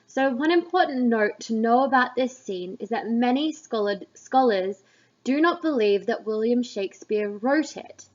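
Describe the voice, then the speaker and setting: A woman's voice: on the high side, lively intonation, moderate pace, darkish tone. A woman teacher giving plain, explanatory commentary on a text, as in a lesson. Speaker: English teacher. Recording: dull, muffled lo-fi recording.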